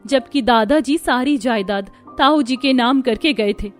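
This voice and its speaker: Woman acting a caring, high-voiced young sister. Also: measured pace, faint music behind. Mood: admiring, earnest. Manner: tender, sincere. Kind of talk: Hindi cartoon story acting.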